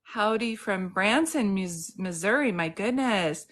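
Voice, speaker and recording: A middle-aged woman psychic medium hosting a casual live stream, chatting warmly with viewers, greeting them by name and reading out their comments. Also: mid-range voice, expressive, a little slow. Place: home in Santa Cruz.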